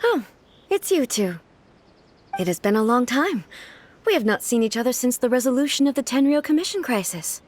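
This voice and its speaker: A woman voicing an ancient, stern goddess ruler who speaks in a measured, formal and grave way. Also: fairly high pitch, expressive, darkish tone, slight background noise, natural voice. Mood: calm. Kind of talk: game dialogue.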